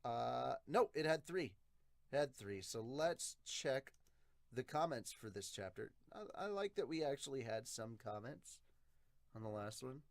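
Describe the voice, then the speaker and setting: A man hosts a casual review show in a mid-pitched voice. He talks bluntly and with animation, swearing freely as he reacts. The sound is dry, with very little room to it. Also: animated, a little slow, creaky, dry recording. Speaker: host of a manga review podcast.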